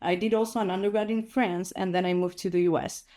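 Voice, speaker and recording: A woman gives a conference talk in an easy, conversational way. She often leans on "like" and "uh" as she gathers her thoughts. Her voice sits at a middle pitch with a mellow, warm tone. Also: steady tone, fast talker. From Chile. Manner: conversational, with frequent like and uh fillers. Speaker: invited speaker.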